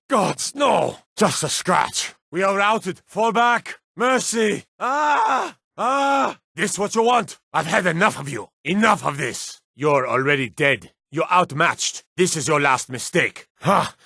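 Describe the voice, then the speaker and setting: A gruff male fantasy game guard, blunt and theatrical. The voice sits high for a man and swings widely in pitch. It has a forceful, hard edge suited to a threatening soldier. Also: lively intonation, slow, no room sound, clean studio sound, full character voice. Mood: panicked, pleading. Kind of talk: video game combat barks and warnings.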